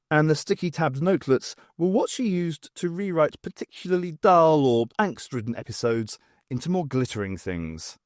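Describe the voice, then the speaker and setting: A man narrates a science fiction novel with a very lively, varied delivery. His voice sits at a middling pitch, and the sound is dry and close, with expressive storytelling throughout. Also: no room sound. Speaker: audiobook narrator.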